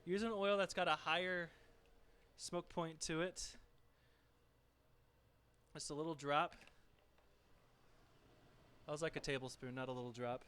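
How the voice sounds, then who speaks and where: Soft-spoken man chatting through a cooking stream. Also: on the high side, unhurried, pauses often, smooth. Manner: relaxed, chatty, talks to viewers while working. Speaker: streaming home cook. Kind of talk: casual live cooking stream, reading chat aloud. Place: home kitchen.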